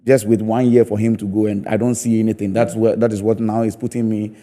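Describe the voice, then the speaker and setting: Man, Ghanaian accent, low pitch, very mellow dark tone, emphatic interview guest, repeats phrases for stress. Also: level tone, fast. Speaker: guest, stage play producer and theatre practitioner. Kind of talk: studio interview, opinionated discussion.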